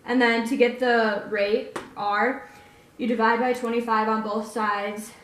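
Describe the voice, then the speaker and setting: Woman explaining math, hesitant, flat, distant and roomy. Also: fairly high pitch, a little slow, slight background noise. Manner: hesitant, with fillers. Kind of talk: step-by-step explanation.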